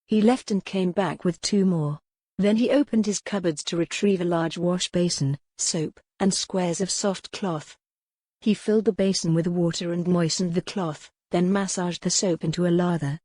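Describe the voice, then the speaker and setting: Low-voiced woman narrating, close and dry. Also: normal speed, warm tone, no echo. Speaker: audiobook narrator. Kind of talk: scripted narration of historical romance novel.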